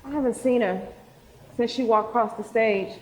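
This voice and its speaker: An affectionate teenage graduate in a stage drama, played by a woman. Her voice sits on the high side. The sound rings in a large hall. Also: normal speed, natural voice. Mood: subdued, sincere. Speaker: actor in a stage play. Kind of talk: live stage play acting. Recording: reverberant hall, live stage recording.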